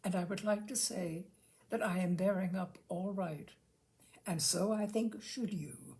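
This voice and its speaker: A woman's voice: mid-range voice, unhurried, gravelly, a little mellow. A woman reading a humorous literary essay aloud as a storyteller, with droll, mock-serious delivery and theatrical emphasis. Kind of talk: literary audiobook-style reading of a comic essay.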